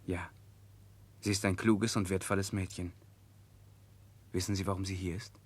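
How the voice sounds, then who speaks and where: A man's voice: deep voice, flat delivery, moderate pace. A man playing a principled, protective political exile in a 1960s German radio drama, speaking formally and directly. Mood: confiding.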